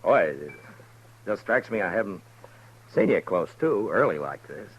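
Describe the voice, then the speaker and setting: An actor playing a bashful, easygoing frontier marshal in a 1950s radio western, talking in a halting, folksy way. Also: male voice, fairly low pitch, sing-song, slightly rough, highs cut off, exaggerated voice. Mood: bashful, awkward. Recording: faintly noisy 1950s radio recording.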